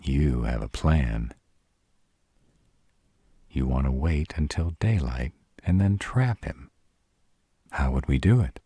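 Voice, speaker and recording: Roleplay audio: male performer as a fierce, devoted dragon-shifter mate, extremely low and husky, crude yet tender, recorded dry. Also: expressive, dark tone, no room sound, exaggerated voice. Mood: affectionate, protective. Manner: slow, crude-tongued, tender with partner. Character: protective, devoted male were-dragon lover, brash and fierce. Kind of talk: roleplay audio drama acting.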